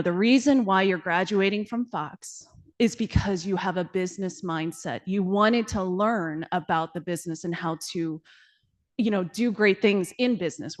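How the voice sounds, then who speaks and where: A woman from China, likely in her thirties, answering interview questions about her startup in an earnest way with lots of fillers and light laughs. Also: medium pitch. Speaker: startup founder.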